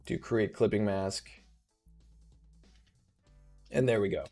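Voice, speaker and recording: Man, 30s, live tutorial host; slightly rough, laid-back, lowish voice, faint music bed. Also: slow pace. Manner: relaxed, thinking aloud with fillers.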